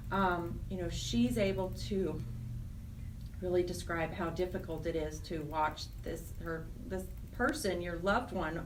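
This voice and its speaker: Lower-pitched woman explaining in an interview. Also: distant mic, a little hiss or hum, low-quality recording. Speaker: nonprofit program director, interview guest.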